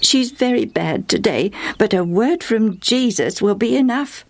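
A woman's voice: medium pitch, very animated, pitched above the performer's own voice. A woman storyteller voicing a desperate, eager mother in a children's Bible story, earnestly imploring. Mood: anxious, eager. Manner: earnest, imploring, drawn-out pleas.

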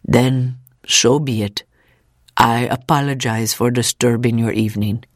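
A woman's voice: very deep voice, steady tone, unhurried, no echo, clean studio sound. A woman voicing a solemn, stubborn, devout man with grave, formal speech, in an audiobook. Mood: stiffly resigned. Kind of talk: audiobook character acting.

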